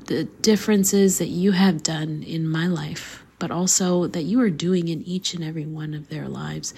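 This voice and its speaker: A woman in her thirties, hoarse from a flu, hosting a faith podcast in an earnest, casual way, laughing easily and dropping to a soft near-whisper. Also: low voice. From Canada. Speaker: podcast host.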